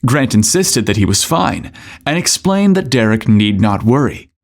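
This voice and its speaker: Guy narrating a podcast story, really animated and theatrical, kinda suggestive, mid-range voice. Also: medium pitch, moderate pace.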